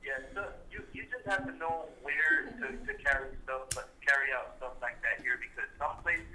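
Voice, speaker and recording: A man calling in to a podcast by phone, talking haltingly with many fillers and restarts. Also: fairly high pitch, sharp tone. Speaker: caller. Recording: noisy phone line.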